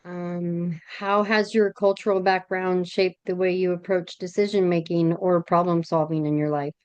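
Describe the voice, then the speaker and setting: Polite woman interviewer with a smooth, dull-toned voice. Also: fairly low pitch, little pitch movement, normal speed, no room sound. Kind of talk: reading interview questions.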